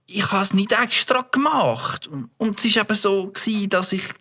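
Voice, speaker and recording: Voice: mid-range voice, animated, unhurried, bright, forceful tone, heavily acted. An adult voicing a young Swiss German boy who stammers with fillers, in a children's audio drama. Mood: sheepish. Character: young boy, mischievous but sheepish. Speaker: child character.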